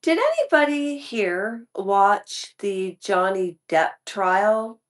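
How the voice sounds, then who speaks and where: Slow, rambling woman in her fifties. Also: medium pitch, very animated. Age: 50s. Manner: rambling, restarts and trails off mid-thought. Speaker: YouTube commentary creator. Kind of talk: casual vlog talk to viewers.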